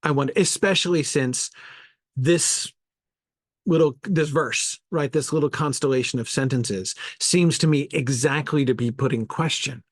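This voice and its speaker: A man's voice: medium pitch, measured pace, no echo. Thoughtful man teaching, close dry sound. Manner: hesitant, self-correcting, thinking aloud. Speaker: teacher leading an online Bible study. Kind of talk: informal lecture with discussion of viewer comments.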